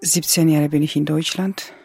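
A woman's voice: low voice, steady tone, brisk, vocal fry. A middle-aged woman from Georgia, a native Georgian speaker with a Georgian accent, telling her story for a radio feature. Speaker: interviewee. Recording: music playing behind the voice. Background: music.

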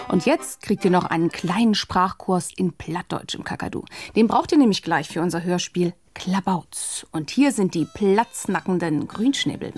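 A woman's voice: medium pitch, darkish tone. A woman hosting a children's radio programme, reading a script in a chatty way straight to young listeners.

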